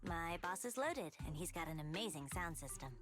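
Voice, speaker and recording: A woman's voice: fairly low pitch, animated, moderate pace, fairly bright tone, soft background music. An actress playing a cool, laid-back young working woman in a video game, with a nonchalant manner.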